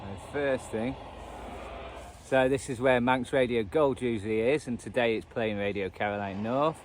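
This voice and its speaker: A man's voice: medium pitch, moderate pace. Northern English man chatting over outdoor noise. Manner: hesitant, thinking aloud, trailing off. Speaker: radio hobbyist vlogger. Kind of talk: casual unscripted chat, demonstrating a radio band scan. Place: outdoors at edge of a churchyard. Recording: noisy outdoor recording with traffic ambience.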